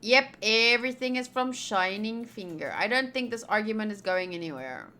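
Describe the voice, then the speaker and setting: A woman's voice: medium pitch, expressive, normal speed, sharp tone. A young woman streaming a game playthrough, casually reading dialogue aloud and drifting into playful, rambling asides.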